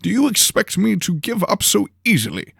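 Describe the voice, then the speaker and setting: A man's voice: high-pitched, sing-song, normal speed, creaky, fairly bright tone, breathy edge, no room sound, studio quality, pitched above the performer's own voice, heavily acted. A man voicing an eager, pompous lord with a theatrical, self-important manner in a fantasy audio drama. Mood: eager, gleeful. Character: excitable, pompous, eager male lord and mentor.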